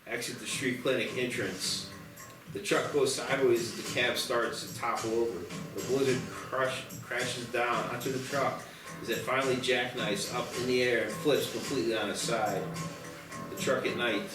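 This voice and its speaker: A man's voice: medium pitch, little pitch movement, normal speed, distant mic. Male screenplay narrator in a roomy space. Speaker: stage-direction reader. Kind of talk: scripted screenplay narration at a table read. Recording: roomy, off-mic, music behind.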